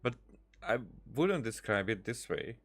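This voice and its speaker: A man giving casual, halting gameplay commentary, muttering asides and reading dialogue options aloud. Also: lowish voice, big pitch swings. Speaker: gaming streamer.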